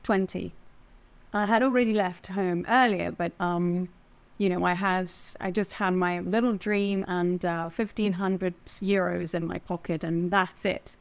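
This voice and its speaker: An Italian-born actress who speaks English with a British accent, telling stories with small laughs as a podcast guest. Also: female voice, on the low side, measured pace, vocal fry. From Italy. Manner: animated storytelling with small laughs. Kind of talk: podcast interview.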